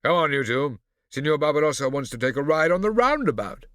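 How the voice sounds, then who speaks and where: A cocky teenage boy putting on a haughty, condescending grown-up manner, as a man reads him. His tone is bright and sharp, with lively rises and falls. The sound is dry and close. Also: fairly high pitch, a little fast, dry recording, pitched above the performer's own voice. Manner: condescending, smooth. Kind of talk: audiobook character voice.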